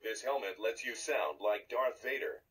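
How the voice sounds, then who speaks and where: An actor voicing a dark armored sci-fi villain giving recorded instructions through a small toy speaker. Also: male voice, on the low side, normal speed. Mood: commanding. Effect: played through small toy speaker. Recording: husky toy playback, distant from mic.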